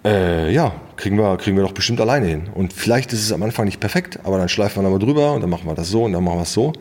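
A man's voice: sits low, animated, very fast, darkish tone. A middle-aged man with a Ruhr German accent, telling colloquial anecdotes casually as an interview guest. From Ruhr area, western Germany. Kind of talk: casual storytelling in conversation. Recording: long hall reverb.

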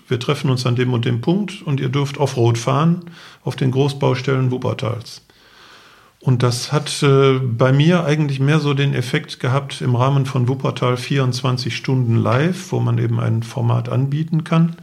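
Man explaining in detail, slightly creaky voice. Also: mid-range voice, darkish tone. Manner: explains matter-of-factly in detail. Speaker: interview guest, enduro event organizer; architect for the city. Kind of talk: conversational interview storytelling.

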